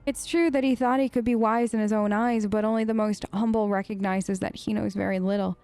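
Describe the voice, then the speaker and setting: A woman's voice: medium pitch, fairly even intonation, fairly quick, a little mellow, faint music behind. A woman hosting a game let's play, reading in-game lore aloud and breaking off with curious, puzzled questions. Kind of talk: gameplay commentary and reading in-game text aloud.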